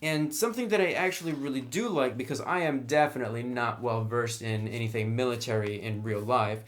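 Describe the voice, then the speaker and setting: A man's voice: mid-range voice, fairly quick. A man giving a casual, conversational review narration as a reviewer of tabletop game books.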